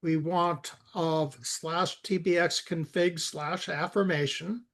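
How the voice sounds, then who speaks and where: A man giving informal step-by-step coding instruction, halting and repeating words as he guides someone through it. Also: a little high, fairly even intonation, a bit bright. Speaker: software expert guiding a coding session.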